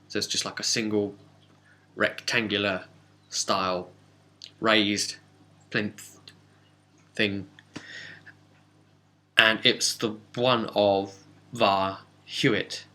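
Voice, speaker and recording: A man recapping a tabletop game as game master, telling it casually with dramatic, halting pauses. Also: lowish voice, flat delivery, sharp tone.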